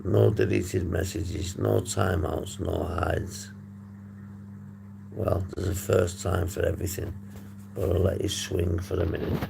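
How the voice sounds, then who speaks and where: A man hosting a casual livestream, hoarse and husky, rambling and swearing as he reads the chat. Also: sits low, level tone, measured pace. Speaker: livestream host. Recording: noisy background hum.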